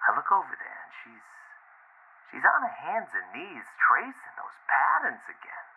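An actor playing an agitated boyfriend coming undone, rambling and swearing in halting speech over the phone in an audio drama. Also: male voice, fairly high pitch, wildly swooping pitch, vocal fry, a little hiss or hum, exaggerated voice. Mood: frustrated, frantic. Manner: rambling, profane, halting with bitter laughs. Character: agitated, unravelling boyfriend ranting about his partner's obsession. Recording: tinny, narrow phone line.

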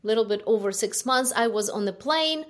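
A middle-aged woman from Ukraine with a Ukrainian accent, giving her personal testimony as a guest in a slow, halting, soft-spoken way. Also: on the high side, animated.

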